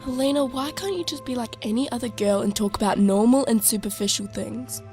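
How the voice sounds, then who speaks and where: A woman's voice: a little high, a little fast, warm tone, faint music behind, close to an everyday voice. A young woman playing a worldly, teasing, sarcastic teenage girl in an audio drama. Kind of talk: scripted audio drama dialogue.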